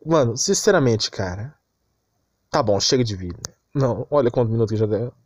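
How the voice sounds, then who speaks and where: Animated Brazilian guy ranting casually. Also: medium pitch, a little fast, dry recording, studio quality. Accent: Brazilian Portuguese. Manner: exasperated, repetitive, slangy. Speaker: anime commentary YouTuber. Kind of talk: informal ranting commentary.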